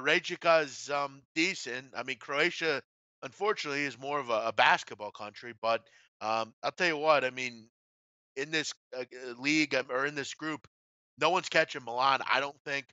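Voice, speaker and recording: Bright-voiced man talking sports betting. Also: mid-range voice, moderate pace, clear, resonant tone, no echo, lo-fi sound. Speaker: host of a sports betting show. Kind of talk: casual betting tips discussion.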